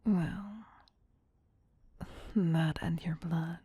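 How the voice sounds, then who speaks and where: A woman playing a possessive, teasing, soothing vampire queen in a whispered ASMR roleplay, breathy, hushed and doting yet domineering. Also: fairly low pitch, fairly even intonation, drawn-out words, dry recording, heavily acted.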